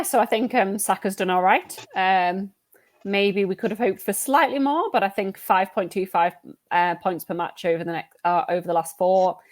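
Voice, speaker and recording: A woman guest on a football fantasy podcast, talking in a relaxed, self-deprecating way with many "um"s. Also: medium pitch, no room sound. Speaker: guest analyst. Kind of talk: casual chat.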